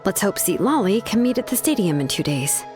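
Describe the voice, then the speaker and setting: An actress voices an easygoing young woman from an anime game. Her delivery is casual and candid, with very lively pitch movement, and music plays softly behind her. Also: fairly quick, natural voice. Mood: agreeable. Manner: casual, hesitant, conversational. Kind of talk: anime video game voice acting.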